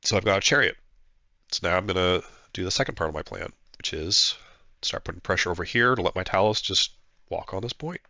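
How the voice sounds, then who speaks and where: A man's voice: sits low, a little fast, vocal fry, pushed and bright, dry recording, muffled recording. A man getting over a flu, his nose stuffed up, casually narrating his own tabletop battle as a player with plenty of fillers. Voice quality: nasal, stuffed-up. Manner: thinking aloud, hesitant with fillers. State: congested from a flu. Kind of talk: casual play-by-play commentary.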